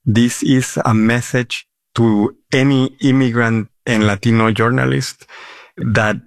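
Deliberate man guest in a dry recording. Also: mid-range voice, steady tone, no room sound. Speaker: press freedom advocate guest. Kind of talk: earnest interview answers.

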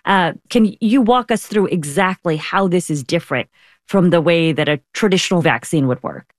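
An interview host asks questions with a medium-pitched voice and keeps the guest going with short acknowledgements. The sound is close and dry. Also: normal speed, dry recording.

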